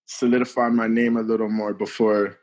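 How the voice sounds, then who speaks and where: A man's voice: on the low side, brisk. Laid-back young man from Cameroon. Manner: relaxed, rambling with many "like" and "you know". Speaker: guest musician. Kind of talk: casual podcast interview.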